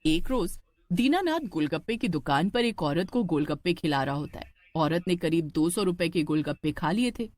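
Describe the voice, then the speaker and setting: A woman narrating a scripted horror cartoon story in an expressive storytelling style. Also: fairly low pitch, normal speed, dry recording.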